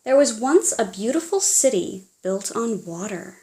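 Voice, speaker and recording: A woman reading a children's storybook aloud in a theatrical, expressive way, as if speaking directly to young listeners. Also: fairly high pitch, unhurried. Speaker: children's audiobook reader.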